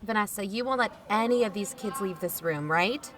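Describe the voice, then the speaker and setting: An actress playing a watchful, protective aunt in a first-person audio drama, conversational and wry. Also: female voice, mid-range voice, moderate pace, music in the background, lightly acted. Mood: wary. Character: watchful, protective aunt narrating her own mystery.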